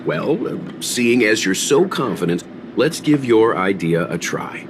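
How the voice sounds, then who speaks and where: A man playing a calm, thoughtful and gently skeptical mentor in a video game, reserved and measured. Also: lowish voice, heavy background noise. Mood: gently indulgent.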